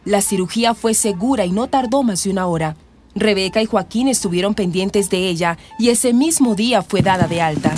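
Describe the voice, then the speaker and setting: A woman's voice: mid-range voice, a little fast, darkish tone. A woman narrating a scripted radio drama. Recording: music behind the narration.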